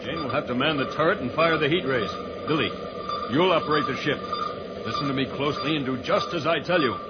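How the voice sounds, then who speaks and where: A man's voice: a little high, fairly quick, heavy background noise, close to an everyday voice. An actor playing an assured, heroic spaceman in a vintage radio serial, speaking earnestly and with urgency. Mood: calm, instructing. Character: earnest, calm heroic male spaceman guiding others. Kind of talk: 1950s radio serial acting. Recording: old muffled radio recording, music behind.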